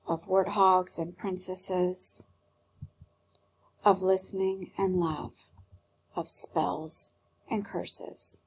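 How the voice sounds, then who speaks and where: A woman reads aloud as a teacher would to pupils, with plenty of drama. Her husky voice sits at an ordinary pitch but moves widely, dropping into hushed phrases and pauses. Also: rough recording. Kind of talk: expressive storybook read-aloud and commentary.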